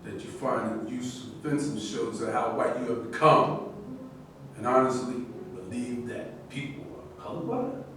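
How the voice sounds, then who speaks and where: A man's voice: mid-range voice, fairly even intonation, normal speed, fairly bright tone, natural voice. Man acting a blunt militant athlete, very echoey. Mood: defiant. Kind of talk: staged play reading. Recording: very echoey room, distant mic, faint noise.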